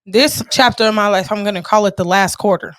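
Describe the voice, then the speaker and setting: A woman's voice: mid-range voice, a little fast, a bit bright, no room sound. American girl late 20s co-hosting a podcast, mid voice, super dry sound, blunt and sweary. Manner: blunt, slangy, profane. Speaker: podcast co-host. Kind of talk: casual chat.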